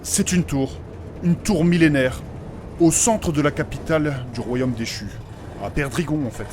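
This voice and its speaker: A man's voice: on the high side, moderate pace, a little mellow, slight background noise, close to an everyday voice. A man playing a courteous, pompous mage with formal, old-fashioned diction in a French fantasy comedy audio drama. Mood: pedantic, explaining. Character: courteous, pompous, easily shocked male mage explaining lore.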